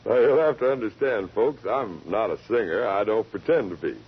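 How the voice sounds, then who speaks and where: An actor playing a folksy, laid-back singing cowboy in a 1940s radio drama. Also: male voice, lowish voice, moderate pace, loud music behind the voice, lightly acted. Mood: modest, genial. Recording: muffled 1940s radio recording.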